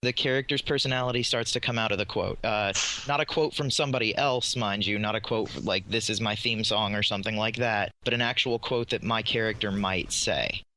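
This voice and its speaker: A man's voice: lowish voice, steady tone, brisk, hardly any pauses, sharp tone, no room sound. A man speaking as a podcast panelist, explaining his approach in casual talk.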